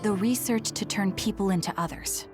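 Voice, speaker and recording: A woman's voice: mid-range voice, normal speed, lightly acted. A woman playing a serious young soldier in an English game dub, earnest and direct. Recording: faint music behind the voice.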